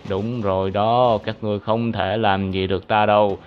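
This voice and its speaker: A man voicing a big, vengeful toothy monster with gloating, drawn-out threats in a children's cartoon. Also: on the low side, flat delivery, highs cut off, voice pushed down, close to an everyday voice. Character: big blue long-tongued toothy monster, vengeful, cruel.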